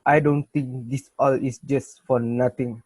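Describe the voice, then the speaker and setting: A man's voice: mid-range voice, level tone, a little slow, a little mellow, breathy edge, no echo. A man playing a nervous, doubtful young student, hesitant, in an amateur dramatic reading.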